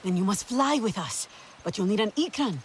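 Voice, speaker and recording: A woman's voice: medium pitch, lively intonation, normal speed, slight background noise, natural voice, low-quality recording. A woman playing a fierce, resolute Na'vi hunt leader in a video game, with formal, declarative speech. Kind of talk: dramatic video game acting.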